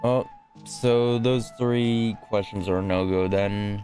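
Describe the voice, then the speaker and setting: Slow, low-voiced male gamer. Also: steady tone, dark tone, faint music behind. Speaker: game player. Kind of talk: casual play-along commentary.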